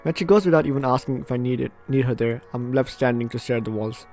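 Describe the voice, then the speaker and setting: A man's voice: mid-range voice, fast, darkish tone, faint music behind. A man hosting a gaming video, reading game text aloud with stumbles and self-interrupting asides.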